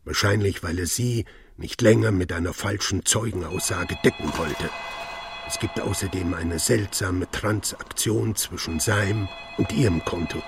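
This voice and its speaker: A man's voice: deep voice, unhurried, background noise. An actor playing a cold, sardonic male police inspector in a radio play, interrogating with dry, sarcastic accusations.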